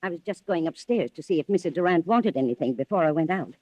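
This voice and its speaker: A woman's voice: low-pitched, expressive, fast talker, dark tone, slightly rough, no room sound. An actress playing a loyal, deferential woman housekeeper in an old-time radio thriller, hesitant and flustered. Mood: flustered, reluctant. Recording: dull old radio recording.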